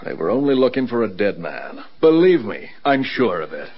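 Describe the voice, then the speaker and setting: A man's voice: medium pitch, sing-song, moderate pace, breathy edge. A man playing a stubborn, insistent air control officer in an old-time radio drama. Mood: brisk. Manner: emphatic, insistent. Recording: dull old radio recording.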